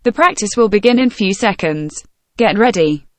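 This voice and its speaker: Lady doing test prompt announcements, average pitch, super dry audio. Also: expressive, normal speed, no room sound. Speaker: announcer giving test instructions. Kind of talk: scripted instructional prompts.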